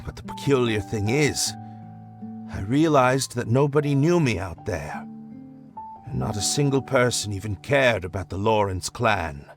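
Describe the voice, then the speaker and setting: A disillusioned young nobleman, hesitant and reflective, speaking slowly in a mid-range voice with many halting pauses. Clear game music runs underneath. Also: on the low side, expressive. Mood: rueful. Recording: game cutscene with music behind.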